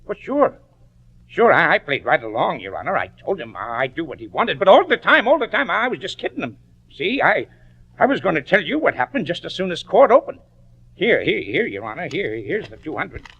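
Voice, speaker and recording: High, bright, slangy male juror. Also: very animated, husky, muffled recording, exaggerated voice. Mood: cagey, folksy. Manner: folksy, slangy, evasive drawn-out answers. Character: slangy, cagey male juror turned accusing witness. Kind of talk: old-time radio acting. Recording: vintage radio broadcast.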